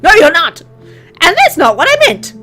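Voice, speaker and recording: An exasperated young girlfriend pleads in a raised, high voice , acted by a woman. Her delivery is emotional and emphatic, in visual novel drama style. Music is clearly audible underneath. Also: huge pitch swings, normal speed, sharp tone, exaggerated voice. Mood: frustrated. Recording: music behind the voice.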